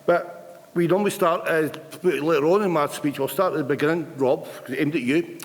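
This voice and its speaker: A man's voice: on the high side, moderate pace. A man in his fifties, a retired union rep giving an earnest, plain-spoken conference speech with occasional stammered restarts in a large hall. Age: 50s. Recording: long hall reverb.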